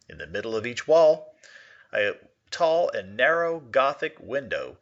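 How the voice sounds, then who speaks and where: Bright-voiced man reading aloud, very animated pitch. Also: highs cut off. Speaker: teacher reading a story aloud. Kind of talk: expressive literary read-aloud for a lesson.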